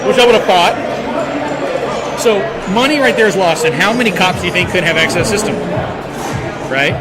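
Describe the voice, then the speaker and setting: Male storyteller, high voice, informal, acts out dialogue; recorded amid very loud music and crowd. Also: animated, a little fast. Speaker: podcast co-host telling an anecdote. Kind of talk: casual animated storytelling. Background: loud crowd chatter and music.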